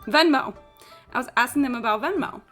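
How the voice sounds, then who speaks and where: A woman with a fairly high voice livestreams a game in a casual, chatty way. She rambles through anecdotes bluntly and swears freely, leaning on "like" as she goes. Game music plays faintly behind her. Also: brisk. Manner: blunt, sweary, animated storyteller, frequent "like". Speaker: live streamer. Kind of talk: casual gaming stream chat, rambling anecdotes. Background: video game music.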